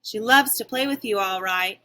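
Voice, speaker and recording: A woman's voice: mid-range voice, moderate pace, bright, forceful tone, close to an everyday voice. A woman voicing a big, haughty female dog in a storybook, growly in temper, sceptical and scornful.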